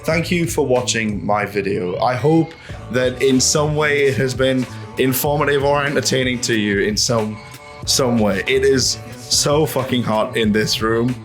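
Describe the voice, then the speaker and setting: A man giving a casual, opinionated video essay in an animated, ranting manner, full of rhetorical questions and swearing. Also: medium pitch, measured pace, faint music behind. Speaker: host of a video essay channel.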